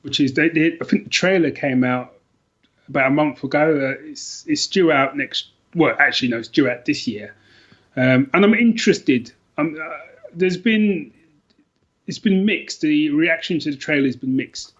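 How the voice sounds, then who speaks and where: A man's voice: a little high, moderate pace, muffled recording. A man talking casually and colloquially about books as a podcast guest. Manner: informal and colloquial. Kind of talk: casual book chat.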